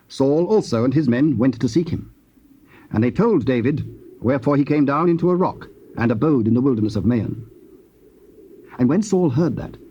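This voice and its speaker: A man narrating dramatized scripture in a formal, solemn and measured storytelling style. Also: mid-range voice, warm tone, husky. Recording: muffled, hissy old cassette tape.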